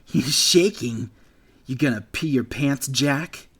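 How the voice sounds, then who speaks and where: A man's voice: mid-range voice, slow pace, breathy edge, voice pushed up. A man reading an audiobook, voicing a sneering, menacing bully boy who taunts his victim. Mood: taunting. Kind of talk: audiobook character voice.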